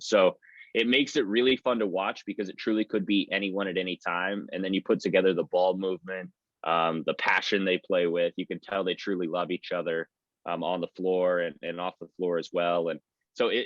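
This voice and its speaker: A man's voice: sits low, a bit bright, no echo, lo-fi sound. A man speaking as a guest sports announcer in a conversational interview, giving earnest, wordy answers. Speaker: sports radio play-by-play announcer, podcast guest.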